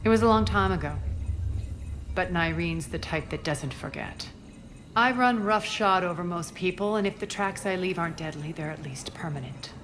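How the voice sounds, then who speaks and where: A low-pitched female alien crime boss from a video game, acted by a woman. She is imperious and cool, delivering each line with deliberate menace. Noticeable ambient noise sits behind the voice. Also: a bit bright, close to an everyday voice. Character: ruthless, imperious alien crime queen plotting revenge.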